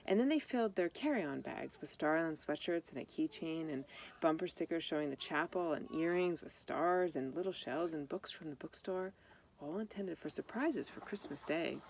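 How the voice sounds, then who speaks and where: A woman's voice: sits low, moderate pace, a little mellow, low-quality recording. A woman reading a children's picture book aloud, explaining things simply and speaking directly to young listeners. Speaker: storybook reader.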